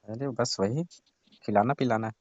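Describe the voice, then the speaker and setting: A man's voice: mid-range voice, measured pace, a little mellow, no room sound, natural voice. A man playing a sly young friend of the family who coaxes and insinuates in a casual phone conversation. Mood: scheming. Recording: muffled lo-fi phone call recording.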